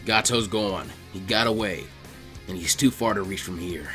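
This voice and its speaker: Brooding young ninja performed by a male reader, stoic, pitched down, clipped muttering, anime storybook. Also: normal speed, bright, forceful tone, soft background music. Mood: suppressed anger.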